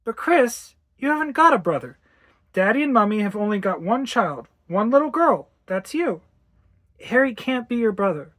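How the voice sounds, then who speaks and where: A woman's voice: a little high, sing-song, moderate pace, a bit bright, dry recording, pitched above the performer's own voice. A woman voicing an anxious, fretful young mother, tense and emphatic, in a story reading. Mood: coaxing, firm.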